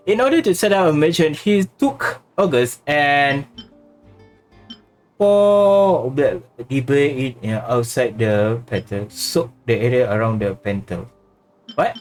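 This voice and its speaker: A man streaming a game, casually reacting and reading along in a halting way with short interjections. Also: on the high side, animated. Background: faint game music.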